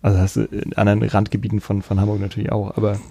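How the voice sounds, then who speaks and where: Mellow, creaky-voiced man hosting. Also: lowish voice, monotone, rapid-fire, a little hiss or hum. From Kiel, Germany. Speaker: podcast host. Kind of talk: casual chat.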